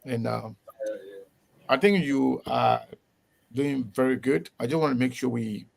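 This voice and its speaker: A man hosting a casual live interview, animated and quick to laugh, often repeating his words. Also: medium pitch, vocal fry. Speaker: host.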